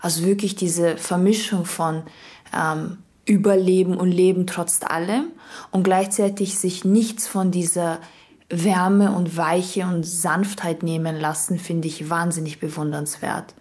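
A woman speaking earnestly in an interview, pausing with fillers as she chooses her words. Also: lowish voice, steady tone, a little mellow. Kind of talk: interview talk.

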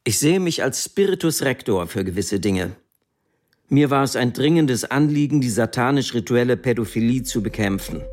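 An actor reading the court statement of a defiant ex-soldier defendant in a combative, insistent manner. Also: male voice, medium pitch, lively intonation, normal speed, a little mellow, lightly acted. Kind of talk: re-enacted courtroom testimony.

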